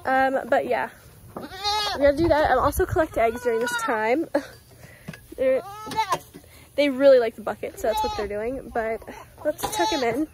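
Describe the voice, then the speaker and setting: A young woman with a Southern US accent, talking casually through a how-to farm vlog, full of "um"s and soft, soothing words to her animals. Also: sits high, lively intonation, moderate pace, long pauses, noisy background. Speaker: vlogger caring for farm animals. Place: outdoors on a farm by animal pens. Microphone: phone camera held close, selfie framing.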